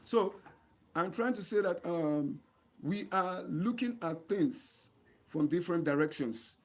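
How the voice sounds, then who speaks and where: A man's voice: high voice, animated, takes it slowly. A man with a Nigerian accent speaking earnestly to viewers as a channel host, repeating himself for emphasis. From Nigeria, Delta State. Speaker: host of an online channel, NGO advocate. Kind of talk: livestream monologue addressed to viewers.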